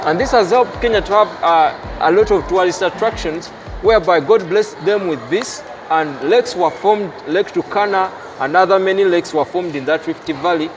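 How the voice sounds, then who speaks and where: A man's voice: high-pitched, normal speed, few pauses, slight background noise, poor audio. A Ugandan man with a Ugandan accent talking at length in a casual chat. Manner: expansive, explains at length. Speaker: guest.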